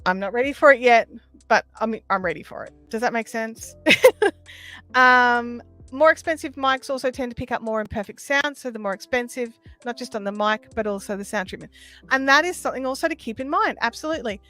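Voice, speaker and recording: Aussie woman hosting a livestream, highish voice, chatty explainer, bit of music behind. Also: fairly quick, bright, forceful tone. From Australia. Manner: conversational, explanatory. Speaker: livestream host. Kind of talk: casual livestream chat answering viewer questions. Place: small sound-treated home room.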